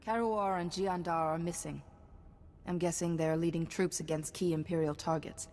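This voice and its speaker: A woman voicing a resolute lower-voiced Jedi. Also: fairly even intonation, moderate pace, darkish tone, big-room sound, lightly acted. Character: principled female Jedi, resolute and dutiful. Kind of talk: game voice acting.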